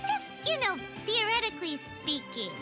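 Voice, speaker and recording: A woman voicing a small, chatty, childlike fairy companion with a squeaky, perky voice in an anime game. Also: wildly swooping pitch, normal speed, exaggerated voice. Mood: awkward, bubbly. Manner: perky, blurting. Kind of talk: anime video game voice acting. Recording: loud music behind.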